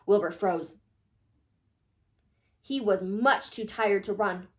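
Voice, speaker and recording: A woman narrating a children's picture book as an expressive read-aloud storyteller. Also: medium pitch, slow pace.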